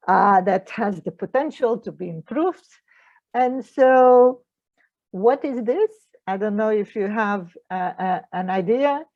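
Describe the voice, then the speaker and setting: A woman's voice: medium pitch, a little mellow, dull audio. A middle-aged woman from Portugal with a Portuguese accent, speaking English as a second language while giving an online lecture as a mediator and trainer, with frequent hesitations and non-native phrasing.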